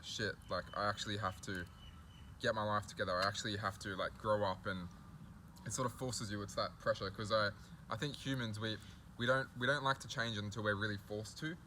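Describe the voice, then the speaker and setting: A young man hosting a casual chat, relaxed, full of "like" and "you know". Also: lowish voice, brisk, slight background noise. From Australia. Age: young adult. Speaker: host.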